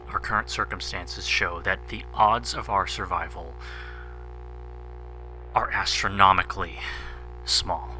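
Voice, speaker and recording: A somber fallen president acted by a man speaks in a deep, strikingly crisp-edged voice. His farewell address is formal and halting, full of regret, with faint music behind. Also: sharp tone, exaggerated voice, rough recording. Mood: resigned farewell.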